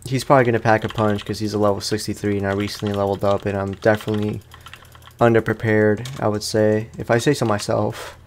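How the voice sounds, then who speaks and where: A man's voice: lowish voice, little pitch movement, fast, slight background noise. A man hosting a let's play, chatting casually and playfully in slangy speech as he comments on the game. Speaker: gamer, let's play host.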